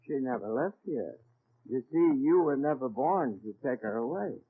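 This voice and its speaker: An actor playing a calm, knowing guardian angel with measured assurance in 1940s radio comedy. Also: male voice, medium pitch, breathy edge, phone-quality sound. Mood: calm, insistent. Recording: thin, band-limited 1940s radio recording.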